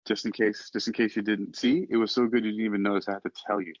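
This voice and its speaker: A man's voice: fairly low pitch, level tone, quick pace, dry recording. A man chatting casually as a podcast host about poetry, questioning things in a playful, mock-exasperated manner. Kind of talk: casual chat discussing and reading poetry. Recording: dull lo-fi sound.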